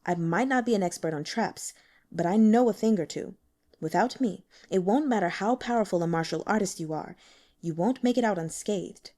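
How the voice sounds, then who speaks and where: A woman voicing a shrewd, dry, sickly male investigator in an audiobook, explaining things in a measured, matter-of-fact way. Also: mid-range voice, mellow, lightly acted. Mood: dry, unmoved. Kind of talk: audiobook character dialogue.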